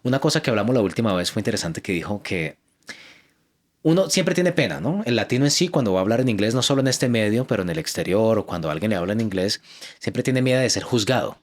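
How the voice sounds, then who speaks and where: A man's voice: on the low side, animated, fast talker. A man hosting a casual interview, who chimes in often with short words of agreement. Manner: supportive, frequent short agreements. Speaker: host.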